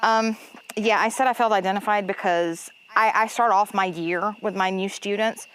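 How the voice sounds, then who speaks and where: A woman's voice: medium pitch, fairly even intonation, moderate pace, fairly bright tone, low-quality recording. Chilean woman, Spanish-speaking teacher, casual and talkative, slight hiss behind. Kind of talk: casual podcast chat.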